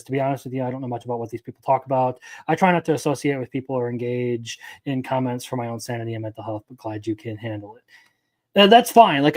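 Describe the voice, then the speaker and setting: A man's voice: fairly high pitch, darkish tone. A man in his twenties giving casual live-stream commentary as an anime news host, opinionated and rambling, answering his chat as he goes. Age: 20s.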